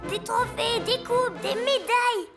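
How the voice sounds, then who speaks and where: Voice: very high voice, measured pace. A performer voicing an earnest, determined six-year-old boy superhero in a French cartoon dub, eager and proud. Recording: loud music underneath.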